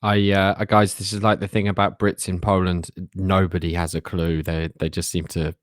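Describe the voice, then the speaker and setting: A man's voice: deep voice, level tone, normal speed, dry recording, clean studio sound. A man hosting a live interview, reading out viewer questions and chatting. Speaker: interview host.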